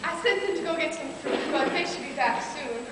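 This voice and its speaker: A woman's voice: high-pitched, expressive, fairly quick. A young woman acting a caring, anxious mother in an amateur stage play. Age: young adult. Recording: echoey hall from far off, noisy.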